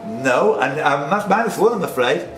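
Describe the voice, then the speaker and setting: A man's voice: fairly high pitch, expressive, moderate pace, a bit bright. A man hosting a quiz, reading out questions to players and dropping in jokey asides. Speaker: quiz host and question setter. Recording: noisy, echoey room recording.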